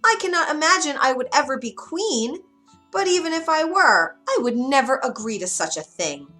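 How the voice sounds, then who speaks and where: A woman's voice: high voice, big pitch swings, normal speed, pushed and bright, faint music behind, voice pushed up, heavily acted. A woman voicing an anxious but resolute young woman in a storybook, with earnest, emotional delivery. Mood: pleading, alarmed. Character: anxious, pleading young miller's daughter, later a resolute queen.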